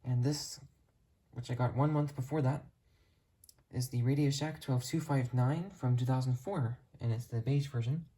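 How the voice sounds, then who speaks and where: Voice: medium pitch, fairly even intonation, normal speed, mellow. A hobbyist narrating a casual show-and-tell video, explaining things in a detailed, matter-of-fact way.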